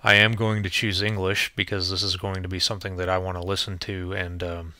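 Man, low pitch, very bright crisp-edged tone, dry close recording, plainspoken tutorial narration, step-by-step. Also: level tone, fairly quick, no room sound. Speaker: tutorial presenter. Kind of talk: explanatory software demo narration, informal.

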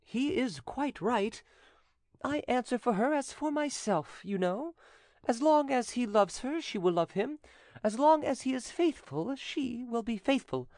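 A narrator voicing an eager, cocky young nephew in an animated, gushing manner for a storybook. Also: medium pitch, a little slow, no echo, studio quality, pitched above the performer's own voice. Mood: enthusiastic.

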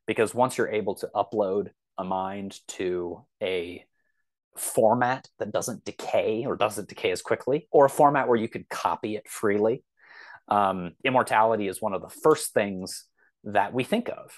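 A man in his thirties talking casually as a podcast guest, thinking aloud in a halting, thoughtful way. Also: lowish voice, dry recording.

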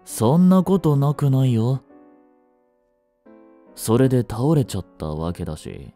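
A man plays a young yandere boyfriend in a romantic audio drama, jealous and possessive. His mellow, intimate voice moves slowly and coaxingly, with wide swings in pitch. He sounds sweetly menacing and controlling. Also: big pitch swings, faint music behind. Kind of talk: Japanese romantic situation voice drama.

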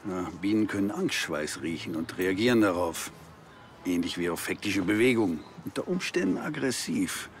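Low-voiced, composed beekeeper. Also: male voice, expressive, normal speed, a little hiss or hum. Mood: serious. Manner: explanatory, composed. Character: serious, knowledgeable older male beekeeper.

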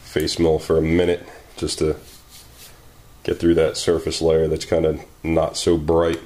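Low-voiced man talking over his workbench. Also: completely flat delivery, a little slow, dark tone. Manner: joking, exclaiming and thinking aloud while working. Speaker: maker channel host. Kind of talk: casual workshop commentary. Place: home garage workshop.

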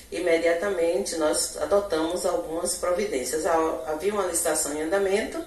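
A middle-aged woman with a Bahian Brazilian accent, an education official answering interview questions in a measured, formal way into a handheld TV mic indoors. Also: low voice, distant mic. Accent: Brazilian Portuguese, Bahia. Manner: measured bureaucratic explanation, occasional self-corrections. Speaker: municipal education secretary. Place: indoor office in front of a logo backdrop. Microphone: handheld TV reporter mic. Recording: echoey room, voice a bit off-mic.

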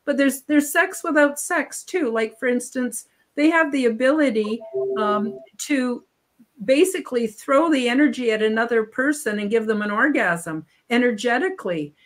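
An older woman speaks as a guest on a video call, her voice sitting a little high. She rambles, restarting sentences and leaning on fillers as she explains. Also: moderate pace. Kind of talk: casual interview talk. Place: home room on video call.

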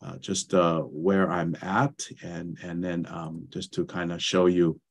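A man's voice: low-pitched, level tone, slow pace, creaky, breathy edge. A middle-aged man from Tonga with a Tongan accent, giving a lecture over a video call, speaking hesitantly with many fillers and restarts. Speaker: guest presenter, anthropology professor. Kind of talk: online lecture with slides. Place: home study with bookshelves.

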